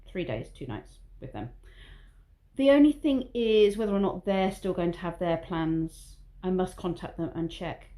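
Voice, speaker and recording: Mellow-voiced woman vlogging casually. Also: on the low side. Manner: rambling, chatty, self-aware waffling. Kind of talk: casual vlog monologue.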